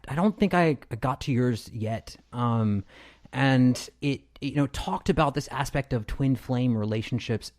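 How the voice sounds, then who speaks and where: A man's voice: medium pitch, darkish tone. A man hosting an interview in a conversational style, reflective and often searching for his words. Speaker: podcast host, interviewer.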